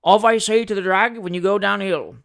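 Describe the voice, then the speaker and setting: A man's voice: high-pitched, steady tone, fairly quick, vocal fry, fairly bright tone, pitched above the performer's own voice. Grave, blunt old coachman, voiced by a man. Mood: inquisitive. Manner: rustic ungrammatical speech, solemn joking. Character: grave, blunt older coachman, drily facetious. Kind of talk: audiobook character voice, Victorian comic novel.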